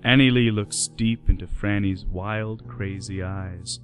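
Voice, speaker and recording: Man, scripted story narration, lowish pitch, soft music underneath, radio play. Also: fairly even intonation, takes it slowly.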